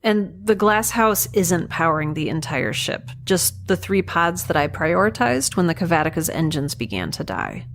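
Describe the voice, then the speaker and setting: A woman's voice: lowish voice, very animated, normal speed, few pauses, a bit bright, slight background noise. A woman playing a guarded, wry lone space scientist in an audio drama, dry and articulate. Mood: wary.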